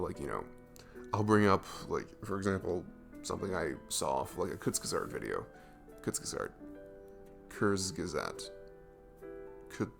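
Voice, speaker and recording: Man playing a low-voiced, affectionate, rambling best friend. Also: creaky. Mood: goofy, amused. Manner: rambling, gushing, informal, chuckling between phrases. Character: goofy, affectionate young man smitten with his best friend. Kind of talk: romantic ASMR roleplay audio, casual improvised-sounding chat.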